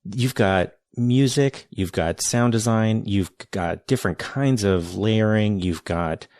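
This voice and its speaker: A man's voice: on the low side, slow, darkish tone, no echo, studio quality. A man hosting a podcast interview, enthusiastic and searching for words with frequent restarts. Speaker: podcast host. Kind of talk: casual interview.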